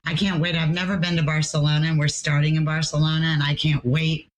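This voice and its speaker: A woman with a raspy, hoarse voice, chatting casually as a guest tour coordinator and often pausing on fillers. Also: low-pitched, level tone. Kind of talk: casual interview chat.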